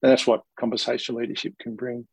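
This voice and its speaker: An Australian man, a senior leader in an organisation, giving thoughtful, measured answers in an interview, with small restarts. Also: medium pitch, little pitch movement, vocal fry, a little hiss or hum, poor audio.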